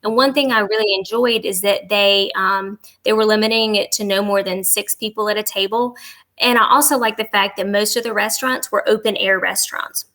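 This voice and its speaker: A woman's voice: medium pitch, fairly even intonation, a little fast, fairly bright tone, poor audio. A woman giving a casual, conversational travel review as a podcast guest, chatty and agreeable with emphatic affirmations.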